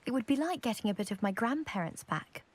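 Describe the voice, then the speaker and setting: A woman's voice: a little high, lively intonation, fast, breathy edge. A woman playing a proud, eager young lady from a scholarly family in a video game, with a posh British accent and precise, self-assured delivery. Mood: eager, informative. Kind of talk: video game character acting.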